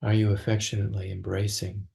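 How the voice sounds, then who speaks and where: Guy doing guided meditation, kinda deep voice w lots of vocal fry, gentle, leaves long pauses. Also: level tone. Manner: slow, gentle delivery with long pauses between phrases. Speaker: meditation teacher.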